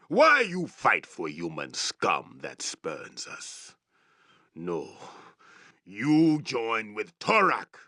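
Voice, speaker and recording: An actor playing a savage, proud orc chieftain in a fantasy video game, growling threats in blunt, broken English. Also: male voice, fairly high pitch, sharp tone, dry recording, studio quality, full character voice. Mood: demanding, proud. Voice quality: gravelly growl. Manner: broken, blunt English with barked war cries.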